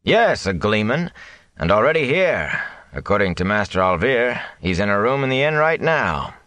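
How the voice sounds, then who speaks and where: A man voicing a calm, steady middle-aged farmer and father, with a dry manner. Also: on the low side, moderate pace, dry recording, voice pushed up.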